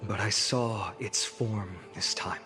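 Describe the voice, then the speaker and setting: Slow-spoken, earnest young hero. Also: male voice, fairly low pitch, steady tone. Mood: haunted. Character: earnest, good-natured young male hero haunted by loss. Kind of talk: scripted video game dialogue. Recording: music underneath.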